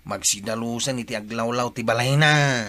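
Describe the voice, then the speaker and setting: A man's voice: medium pitch, moderate pace, pushed and bright, close to an everyday voice. A man playing a supportive, respectful village sidekick, earnest in delivery, in a comic radio drama. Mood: encouraging.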